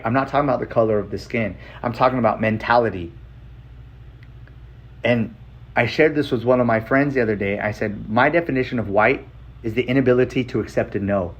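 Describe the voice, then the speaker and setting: An American man laying out his life story bluntly and emphatically, like someone on a homemade personal video with dull, lo-fi sound. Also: medium pitch. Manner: blunt, emphatic, repeating short phrases.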